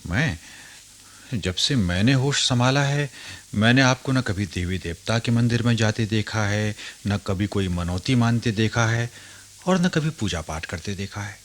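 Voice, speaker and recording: A man acting a respectful, curious grandson in a Hindi radio drama, speaking and narrating in an earnest, deliberate way. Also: lowish voice, expressive. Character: respectful, curious grown grandson who narrates his memories.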